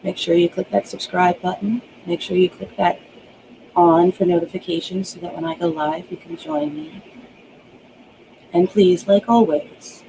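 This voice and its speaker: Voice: medium pitch, steady tone, unhurried, mellow, slight background noise, poor audio. An author reading their own novel aloud as scripted audiobook narration.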